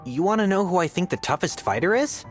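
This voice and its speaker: A man's voice: high voice, animated, quick pace, faint music behind. A man playing a drunk, boastful, chummy adventurer in a video game tavern. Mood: boastful, tipsy.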